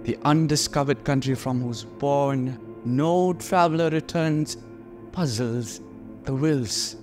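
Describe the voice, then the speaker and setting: An actor playing a melancholy, brooding prince in a theatrical Shakespearean monologue, speaking deliberately with dramatic pauses. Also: male voice, medium pitch, darkish tone, soft background music, natural voice. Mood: troubled, resigned.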